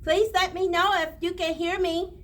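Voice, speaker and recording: A woman reading a rhyming children's story aloud in an expressive, theatrical way with drawn-out phrasing. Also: very high-pitched, a little hiss or hum. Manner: theatrical, drawn-out phrasing, pausing to show pictures to listeners. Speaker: storybook reader at a library read-aloud.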